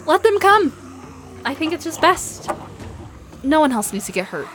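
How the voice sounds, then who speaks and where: Grief-stricken, guarded woman survivor, played by an actress, high voice. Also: sing-song, normal speed, noisy background. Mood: anguished, bitter. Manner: raw, emotional, swearing. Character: grief-stricken, hardened female survivor, self-blaming and guarded. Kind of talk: post-apocalyptic audio drama acting.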